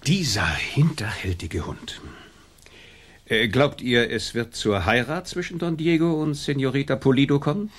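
An actor playing an imperious, vengeful colonial governor in a German radio drama, speaking with haughty command. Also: male voice, mid-range voice, lively intonation, measured pace, a bit bright. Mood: brooding.

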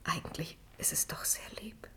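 Eine Frau spielt im Hörspiel eine trockene, skeptische Ehefrau, die auf ein Äffchen eifersüchtig ist und spöttisch stichelt. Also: female voice, normal speed, pushed and bright, whispered, close to an everyday voice. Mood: hushed. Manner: sardonic, needling. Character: dry, sceptical wife jealous of a pet monkey. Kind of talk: German radio play acting.

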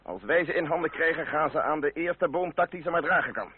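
An actor playing a courteous aristocratic cavalry captain in a radio play, with formal, polished manners. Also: male voice, fairly high pitch, expressive, fairly quick, natural voice. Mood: polite, menacing. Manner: formal, polite, suave. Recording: narrow, tinny old radio recording, faint noise.

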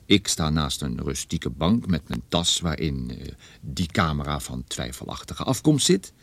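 A man's voice: a bass voice, big pitch swings, unhurried, creaky, no room sound, exaggerated voice. An actor playing a wry, self-deprecating private detective who narrates his own story in a radio drama.

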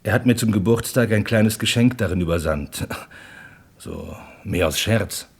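A man playing an uncertain army captain under questioning in a radio crime drama, husky and halting. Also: on the low side. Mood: hesitant, unsure. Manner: halting, unsure. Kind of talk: German radio play dialogue acting.

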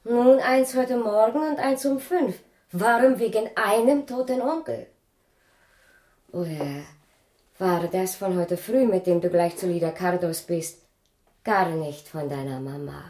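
A woman's voice: mid-range voice, a little slow, mellow, roomy sound, lightly acted. An actress playing an indignant, suspicious circus woman who questions and accuses, in radio drama style. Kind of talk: 1980s German radio drama acting.

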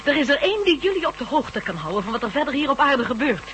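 Resolute heroine: high, expressive, earnest radio-drama delivery over hum and noise. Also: female voice, fast. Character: determined young woman who resists alien mind control. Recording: humming noisy old radio recording.

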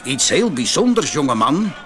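A man's voice: a little high, big pitch swings, moderate pace, a bit bright, soft background music. Man doing an emphatic old merchant. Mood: impressed. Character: grey-bearded old male merchant. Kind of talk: storybook character voice.

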